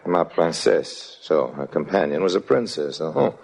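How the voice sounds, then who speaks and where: Deep-voiced charming banker narrator, old-time radio drama style. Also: male voice, moderate pace, creaky, slightly rough, full character voice, poor audio. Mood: suave. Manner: suave, flattering, hesitant over names. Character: smooth suave male investment banker narrating. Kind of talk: 1940s radio acting.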